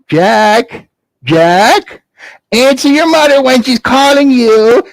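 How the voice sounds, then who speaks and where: A man's voice: extremely high pitch, lively intonation, slow, dry recording, voice pushed up, full character voice. A man voicing a poor, bossy mother who scolds and instructs her son. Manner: fussy, scolding.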